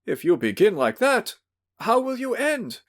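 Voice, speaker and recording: A man voicing a drunk, sentimental, fawning middle-aged gentleman in a storybook reading, pleading and effusive. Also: mid-range voice, huge pitch swings, unhurried, voice pushed up. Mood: flustered.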